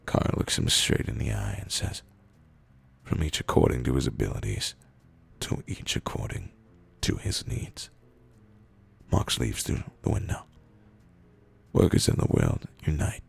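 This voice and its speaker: A man reading written internet posts aloud in a deadpan, comedic way, with a gravelly, husky voice and drawn-out pauses. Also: a bass voice. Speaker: reader of internet copypasta posts.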